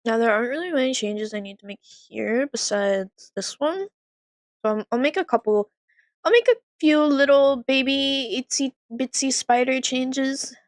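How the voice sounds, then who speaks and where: A woman's voice: sits high, moderate pace, dry recording, clean studio sound. A young woman streamer chatting casually while she eats and works, rambling with lots of "like" fillers. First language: French by heritage, English first language. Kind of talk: casual chat while eating and working.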